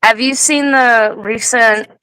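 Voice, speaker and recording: A woman's voice: a little high, fairly even intonation, takes it slowly, crowd noise, poor audio. A woman in casual conversation, speaking informally and swearing freely. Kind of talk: casual chat.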